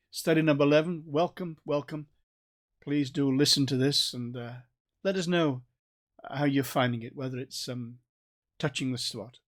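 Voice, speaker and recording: A man with a North Staffordshire accent giving a recorded Bible study in a conversational way, with restarts and gentle asides. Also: a little high. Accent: North Staffordshire English. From England. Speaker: Bible teacher.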